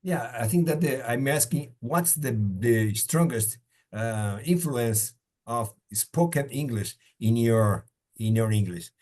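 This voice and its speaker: A man interviewing a guest in a casual chat. He speaks haltingly and rephrases his questions as he goes. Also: medium pitch, expressive, a little mellow.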